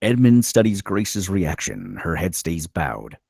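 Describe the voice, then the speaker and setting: A man's voice: low voice, sing-song, slow pace, creaky, a little mellow, breathy edge, no echo, clean studio sound. A man narrating stage directions from a script in a dramatic storytelling style.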